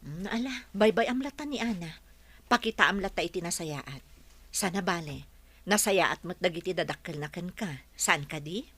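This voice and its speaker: Kindly concerned madam in a radio drama, lowish voice, asking gently. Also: female voice, animated, normal speed, breathy edge, no echo. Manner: caring, inquiring.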